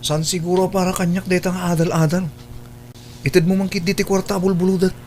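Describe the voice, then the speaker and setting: A man's voice: high voice, flat delivery, fairly quick, a little mellow, slight background noise, natural voice. A man playing a defiant, lazy college-age son in a radio drama, insolent and dismissive. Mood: irritated, insolent. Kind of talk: Ilocano radio drama acting.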